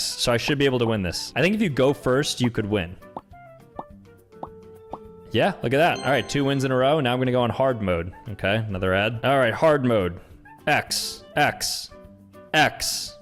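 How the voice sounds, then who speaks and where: Young man, gaming commentary host, ranting, exasperated, spikes high when outraged, faint music in the background. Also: lively intonation, normal speed, a bit bright. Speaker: YouTube host.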